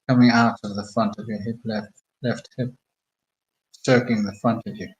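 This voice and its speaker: A man slowly talking listeners through a guided meditation with calm, murmured instructions. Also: on the low side, low-quality recording. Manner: slow, soothing, murmured instructions.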